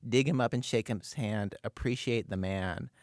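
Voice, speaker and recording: A man telling an anecdote in casual chat, halting and circling back over his words. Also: on the low side, darkish tone, dry recording, clean studio sound. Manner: halting, repeats himself mid-thought.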